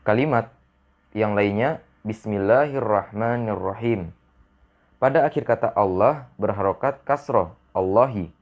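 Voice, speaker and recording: A man with an Indonesian accent narrating a scripted language lesson as a teacher, in a measured, didactic, phrase-by-phrase way. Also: lowish voice, lo-fi sound. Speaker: Arabic grammar teacher. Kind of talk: scripted lesson narration with bilingual example sentences.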